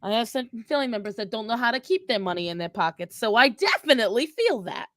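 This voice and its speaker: A woman's voice: fairly high pitch, animated, quick pace, fairly bright tone, no room sound, studio quality. A woman voicing a brash, sassy young woman spellcaster who teases and swears in improvised banter. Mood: dry musing. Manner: cocky, mocking, teasing, profane.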